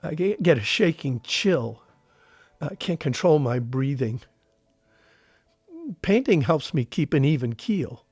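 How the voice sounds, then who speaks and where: An actor playing a grown war veteran, curious yet uneasy, with a plainspoken conversational manner in an audio drama. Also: male voice, fairly high pitch, expressive, takes it slowly, pauses often, darkish tone, husky, dry recording, full character voice. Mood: troubled, confessional.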